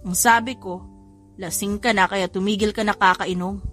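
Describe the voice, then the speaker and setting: A woman's voice: mid-range voice, steady tone, moderate pace, a bit bright, soft background music, close to an everyday voice. A woman voicing a tired, restrained man in a romance audio drama. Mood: calm, restrained. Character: weary, sober male stranger, a famous model.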